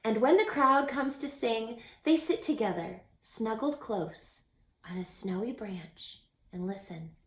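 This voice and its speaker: A woman teacher reading a children's storybook aloud in an expressive, teacherly way, pausing to put questions to young listeners. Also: a little high. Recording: echoey, roomy sound.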